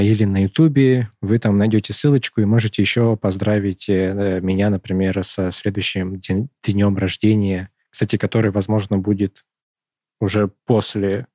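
A man's voice: on the low side, warm tone, husky, no room sound, clean studio sound. A thirty-year-old man hosting a podcast, chatting casually and rambling through long self-correcting stories. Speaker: podcast host.

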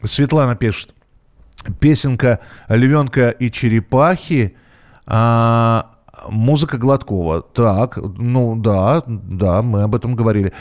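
A man's voice: mid-range voice, darkish tone. Male radio host, mid-range voice, casual live delivery, hesitant and often feeling for the next word. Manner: halting, hesitant, searching for words. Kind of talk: casual live talk, reading listeners' messages.

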